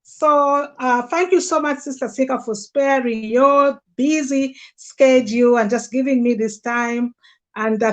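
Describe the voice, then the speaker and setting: A woman hosting a conversational livestream, speaking in an earnest, effusive way as she promotes products. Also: sits high. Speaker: host promoting health products.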